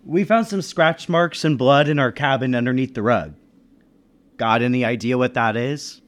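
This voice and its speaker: A man's voice: medium pitch, normal speed, a bit bright, natural voice. A man acting an inquisitive, uneasy traveling businessman who narrates a 1930s-style radio mystery. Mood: casual. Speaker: lead actor and narrator.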